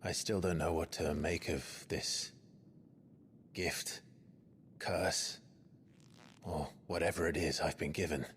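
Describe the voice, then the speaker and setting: A man voicing a brooding, burdened young man in a video game, speaking gravely and earnestly with quiet resolve. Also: rumbling low, steady tone, slow, pauses often, slight background noise, full character voice. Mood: somber. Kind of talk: dramatic video game acting. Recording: music under the voice.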